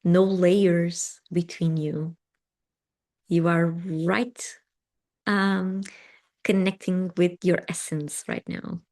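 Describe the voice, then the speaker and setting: A woman who is not a native English speaker leads a live meditation session. She answers chat comments gently, with frequent small laughs and pauses. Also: on the low side, fairly even intonation. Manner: gentle and affirming, laughs often, with pauses and ums. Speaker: meditation teacher.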